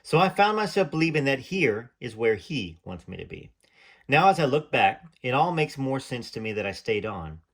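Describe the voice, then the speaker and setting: A man's voice: medium pitch, very animated, moderate pace. A man reading aloud from a memoir in an earnest tone, stopping now and then for chatty, hesitant asides. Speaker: channel host reading from a memoir.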